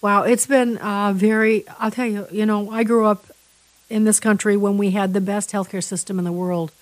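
A woman hosting an interview show, chatting with her guest in a halting way, full of restarts. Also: medium pitch, steady tone, no echo. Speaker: interview host.

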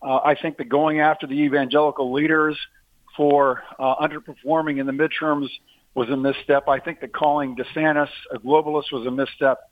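A man's voice: fairly high pitch, little pitch movement, a little fast, no room sound. A man on a phone line, a guest arguing politics in a casual talk-show chat and leaning on sports comparisons. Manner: talkative, uses sports analogies.

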